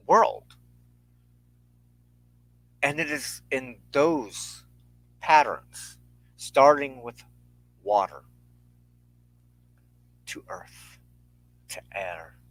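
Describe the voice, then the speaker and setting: A man hosting a spiritual show, speaking reflectively and earnestly in measured, halting short phrases with deliberate pauses. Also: medium pitch, fairly bright tone, dry recording, rough recording. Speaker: host and minister of a spiritual show. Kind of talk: reflective spiritual talk to an online audience.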